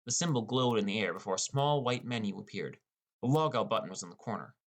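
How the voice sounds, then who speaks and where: A man's voice: expressive, fast talker. A man reading a first-person fantasy story as an audiobook narrator. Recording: rumbly background noise.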